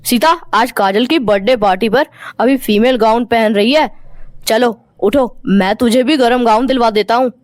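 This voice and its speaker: A woman's voice: fairly high pitch, moderate pace, dry recording, pitched below the performer's own voice, lightly acted. Woman putting on a mild, long-suffering young husband. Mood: practical. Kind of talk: Hindi cartoon moral-story dialogue.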